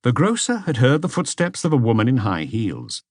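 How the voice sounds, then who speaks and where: A man's voice: medium pitch, wildly swooping pitch, moderate pace, darkish tone, no echo. A man reading a detective story aloud as scripted audiobook narration.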